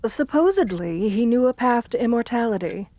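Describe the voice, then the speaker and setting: A woman's voice: a little high, expressive, normal speed, mellow, lightly acted. A woman playing a seductive, assertive countess in an intimate ASMR roleplay, speaking in a genteel, soothing, flirtatious way. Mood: storytelling, sinister.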